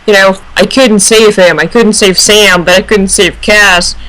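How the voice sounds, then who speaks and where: A woman's voice: medium pitch, fairly even intonation, a little slow, a bit bright, low-quality recording. A woman in a casual fan discussion, analysing aloud and searching for her words. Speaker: fan radio panelist.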